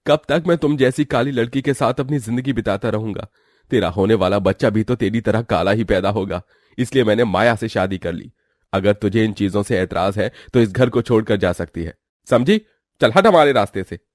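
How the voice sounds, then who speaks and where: A man's voice: on the low side, a little fast, no echo, clean studio sound, natural voice. A man voicing a callous, selfish young husband with an emphatic, self-pitying manner in a cartoon story. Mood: contemptuous.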